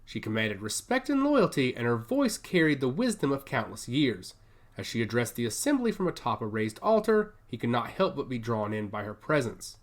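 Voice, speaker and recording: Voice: mid-range voice, big pitch swings, normal speed. A narrator reading a dramatic scripted story in the manner of an audiobook.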